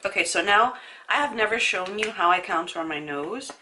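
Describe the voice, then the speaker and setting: A woman's voice: medium pitch, lively intonation, normal speed, pushed and bright, slight background noise. A young woman with a stuffy nose from a sinus infection, chatting casually through a makeup tutorial with asides to the viewer. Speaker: beauty vlogger.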